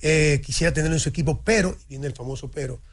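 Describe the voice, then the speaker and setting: A man co-hosting a studio sports talk show, talking in an animated way with many restarts and repeats. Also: a little high, fast talker. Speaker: motorsport commentator.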